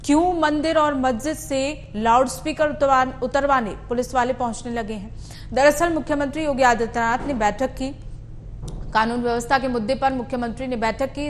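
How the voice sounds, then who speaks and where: A woman's voice: sits high, slight background noise. A woman news anchor who presents in an emphatic way, repeating phrases as she narrates and questions. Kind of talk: scripted news narration and live interview.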